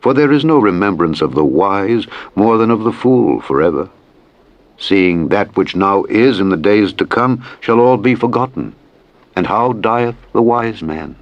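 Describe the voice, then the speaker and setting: A man reading scripture as a solemn audiobook, in a measured, formal, declamatory manner. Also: on the low side, expressive, no echo. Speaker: scripture reader. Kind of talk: solemn Bible audiobook narration. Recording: dull, muffled, lo-fi audio.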